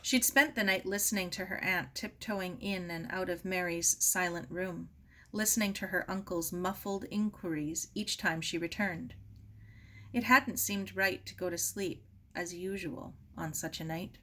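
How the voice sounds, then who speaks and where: A woman reading a children's novel aloud as expressive scripted narration. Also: on the low side, a little slow. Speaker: audiobook reader.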